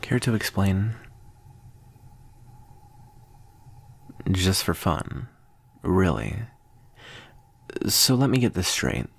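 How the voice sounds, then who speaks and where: A man playing a smug, amused vampire teacher in an ASMR roleplay, condescending and teasing, with unhurried, deliberate pauses. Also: sits low, sing-song, creaky, heavily acted. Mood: skeptical, probing.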